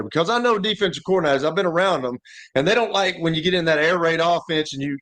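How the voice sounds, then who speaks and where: A man's voice: a little high, a bit bright. An American man co-hosting a sports talk show, asking questions in a casual, conversational way.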